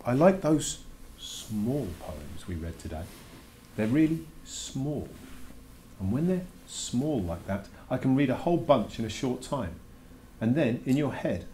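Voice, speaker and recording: A man's voice: mid-range voice, lively intonation, measured pace, warm tone, a little hiss or hum, close to an everyday voice. A man voicing a reluctant schoolboy who puts emphatic, grudging questions to his teacher in a children's storybook read-aloud. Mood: earnest, thoughtful. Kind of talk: dramatic read-aloud of a children's verse novel.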